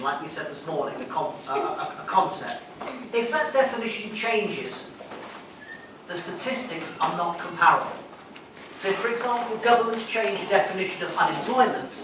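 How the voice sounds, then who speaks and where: A man giving a classroom revision lecture, explaining in a didactic way and answering his own questions. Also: high voice. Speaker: sociology lecturer. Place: lecture room, recorded from a distance. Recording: echoey room, distant mic, noisy.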